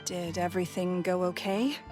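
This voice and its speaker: A woman's voice: fairly low pitch, steady tone, normal speed, natural voice. An actress playing a timid, emotional young woman in a video game, hesitant and earnest. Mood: relieved, apologetic. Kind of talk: video game character acting. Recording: game music behind the voice.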